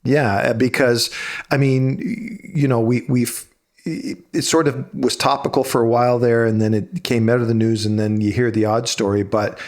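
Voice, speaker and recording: A man's voice: mid-range voice, steady tone, normal speed, darkish tone. A man hosting a podcast interview over a video call, asking long, rambling questions full of restarts. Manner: rambling, many fillers and restarts. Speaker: podcast host.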